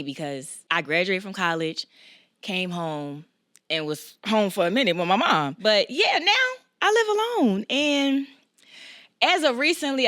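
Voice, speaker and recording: A woman's voice: mid-range voice, no echo, studio quality. A woman in her twenties hosting a podcast, chatting casually with slang and frequent laughter. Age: 20s. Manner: animated, laughs a lot, slangy. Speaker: podcast host. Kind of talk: casual chat.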